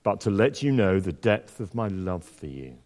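A man preaching a sermon and reading scripture aloud, with a slightly croaky voice and a conversational, self-deprecating manner full of fillers and restarts. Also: sits low, big pitch swings, normal speed, darkish tone. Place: church. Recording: long church reverb.

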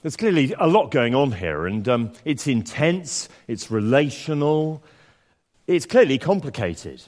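A man preaching a sermon and reading scripture aloud, with a slightly croaky voice and a conversational, self-deprecating manner full of fillers and restarts. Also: mid-range voice, very animated, a little slow, a little mellow. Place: church. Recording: long church reverb.

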